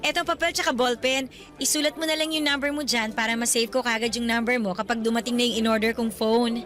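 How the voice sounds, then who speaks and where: A woman's voice: on the high side, fairly even intonation, quick pace, a bit bright, natural voice. A woman voicing a young female student who hides her poor family, talking in a flustered rush of excuses in a radio drama. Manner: flustered, making excuses with nervous laughs. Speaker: voice actor.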